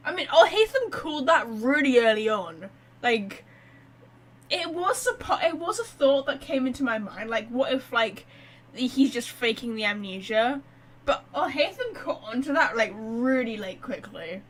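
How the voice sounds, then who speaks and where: A woman's voice: on the high side, big pitch swings, a little slow, a bit bright. A woman giving casual game playthrough commentary, chatty and reactive, thinking aloud. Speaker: gamer, streamer. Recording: faint background noise.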